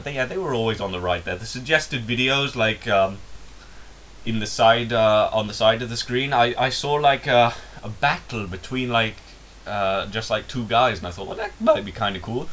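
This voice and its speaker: A man's voice: medium pitch, a bit bright, slight background noise. A young English man talking in a put-on Indian English accent, rambling and self-mocking in a casual vlog monologue. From England. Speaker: YouTuber.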